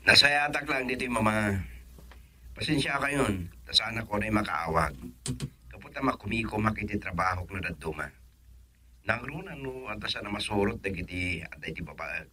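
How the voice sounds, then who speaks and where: An actor plays a devoted young adult son reassuring his mother in a radio play. His voice sits a little low but sounds bright and forceful. Faint background noise runs under him. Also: moderate pace. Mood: earnest. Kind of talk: radio drama acting.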